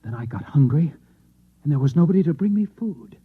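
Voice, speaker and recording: An actor playing a dismal, shaken Martian man, halting and earnest, in an old-time radio drama. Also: on the high side, expressive, breathy edge. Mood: haunted, distressed. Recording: dull old radio recording.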